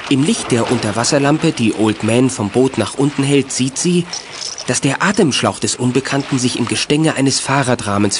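A man's voice: medium pitch, noisy background, lightly acted. A man narrating a children's detective radio play in scripted, suspenseful style.

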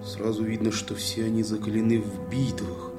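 A man plays an earnest young Cossack in a radio drama. His fairly low voice speaks with solemn admiration under loud music. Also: flat delivery, moderate pace, close to an everyday voice. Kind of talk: theatrical audio drama acting.

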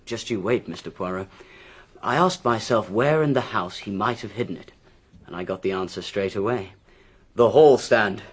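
An actor playing a brisk, blunt English police inspector in a radio drama, confident and emphatic, with a gruff, husky voice. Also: male voice, fairly low pitch. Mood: triumphant.

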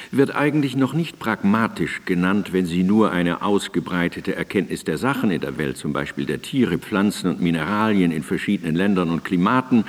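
An actor playing a learned male philosopher in a German radio drama, reciting in a deliberate, formal, lecturing manner. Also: fairly low pitch, big-room sound. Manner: deliberate, formal, lecturing delivery of long sentences. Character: learned male philosopher Immanuel Kant, measured and didactic.

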